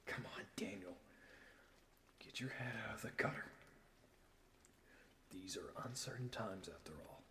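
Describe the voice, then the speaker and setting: A man playing a tormented, guilt-ridden man arguing with his own mind in an audio drama, halting and anguished. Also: fairly low pitch, bright, forceful tone. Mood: brooding, muttering. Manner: halting, anguished, dropping into whispers. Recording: echoey room, clear background noise.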